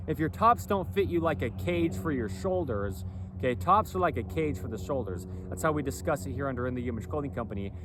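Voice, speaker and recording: A man talks straight to the camera outdoors in a loose, rambling way, checking in with the listener at nearly every turn. His voice sits at an ordinary middle pitch. Faint city noise hums behind him, with passing planes and sirens. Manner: rambling, keeps tagging "okay?" and "you know". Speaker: clothing brand vlogger. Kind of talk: casual outdoor monologue. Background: faint traffic, airplanes and sirens.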